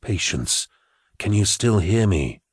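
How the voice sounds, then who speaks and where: A man playing a calm, refined telepath. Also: on the low side, slightly rough, no room sound, studio quality, exaggerated voice. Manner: polite, measured, hushed. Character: calm, refined middle-aged male telepath.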